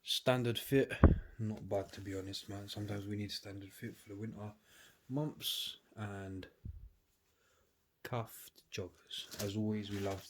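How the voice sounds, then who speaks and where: A man's voice: fairly low pitch, steady tone, measured pace. A man with a cold, sniffling as he chats casually to camera while unboxing clothes at home, laid-back and slangy. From United Kingdom. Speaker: vlogger. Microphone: camera on a tripod. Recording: clear background noise.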